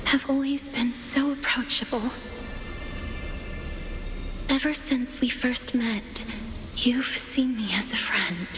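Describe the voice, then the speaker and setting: Game role: guarded gentle maiden, breathy, highish, slow, in a reverberant hall with music. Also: female voice. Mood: wistful, guarded. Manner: soft, breathy, hesitant. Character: quiet, reserved young woman with dangerous power. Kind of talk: video game voice acting.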